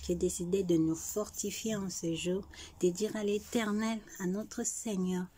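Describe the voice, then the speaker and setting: Slow, soft-spoken woman. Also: mid-range voice, steady tone, a little hiss or hum. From Congo. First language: Tshiluba. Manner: soft, earnest, devout, often hushed. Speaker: vlogger. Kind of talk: personal chat before singing.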